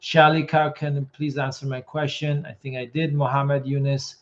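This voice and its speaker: Hoarse man answering immigration questions. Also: mid-range voice, little pitch movement, highs cut off. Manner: quick, matter-of-fact answers, reads comments aloud. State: losing his voice. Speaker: immigration consultant host. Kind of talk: live Q&A reading viewer questions.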